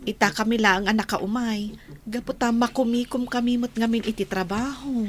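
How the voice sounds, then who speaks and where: A woman plays a sympathetic mother in a radio play. She offers comfort solemnly in a somewhat high voice. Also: fairly even intonation, normal speed, slight background noise, close to an everyday voice. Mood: solemn sympathy. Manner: solemn, consoling. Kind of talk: radio drama acting.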